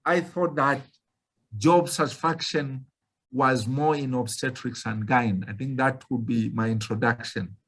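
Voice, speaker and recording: A man in his fifties from Zambia, an obstetrician speaking slowly and earnestly as a panelist on an online video call. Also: medium pitch, fairly bright tone, slightly rough. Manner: slow, measured, earnest.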